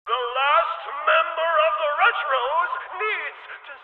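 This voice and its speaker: An actor playing an excitable, showy game show arena announcer with theatrical, emphatic delivery over a reverberant PA. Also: male voice, very high-pitched, slow, narrow, tinny audio, faint music behind. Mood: showy, excited. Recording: very echoey arena PA, band-limited radio-like sound.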